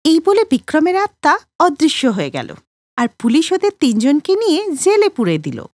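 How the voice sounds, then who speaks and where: A woman narrates a cartoon tale from a script. Her voice is high-pitched, with a lively, swooping intonation. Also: fairly quick, dry recording.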